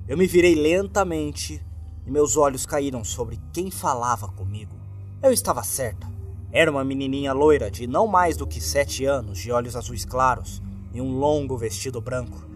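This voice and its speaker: Man, Brazilian accent, medium pitch, dramatic creepypasta narration, grave delivery, background music. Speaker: horror story narrator.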